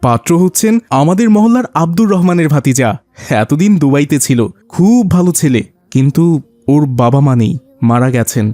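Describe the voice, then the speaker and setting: A man voicing a gentle, reasonable middle-aged father in an Islamic cartoon, explaining things patiently and affectionately. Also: on the high side, animated, normal speed, dry recording, close to an everyday voice.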